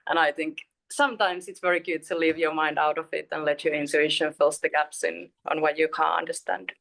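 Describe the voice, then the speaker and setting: A young Finnish woman with a Finnish accent, a performance poet speaking calmly and reflectively over a video call. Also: low-pitched, brisk, gravelly. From Eastern Finland. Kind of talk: spoken introduction and poetry reading.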